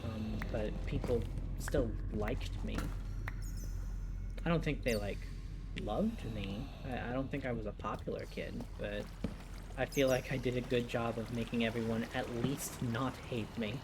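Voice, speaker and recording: A twenty-two-year-old man with a mild Midwestern accent, talking softly and reflectively while he plays a game, rambling with a lot of "you know". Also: mid-range voice, measured pace, noisy background. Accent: Midwestern American. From United States. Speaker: gaming vlogger. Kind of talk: casual confessional chat while playing. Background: loud game music.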